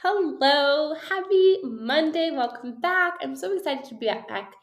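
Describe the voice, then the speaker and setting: A woman's voice: sits high, animated, measured pace. A woman in her thirties hosting a solo business podcast, chatty and upbeat, sounding slightly nasal from a cold, in an echoey office. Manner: chatty, upbeat, frequent ums and little laughs. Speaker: podcast host and business coach. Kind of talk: casual solo podcast talk. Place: her new home office, echoey room. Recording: echoey room.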